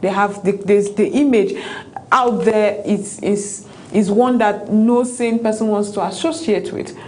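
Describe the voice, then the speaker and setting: A woman's voice: mid-range voice, unhurried, low-quality recording. Woman on a tv panel, lots of uhs and restarts, gets fired up, faint noise behind. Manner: animated, many fillers and restarts. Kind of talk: panel discussion.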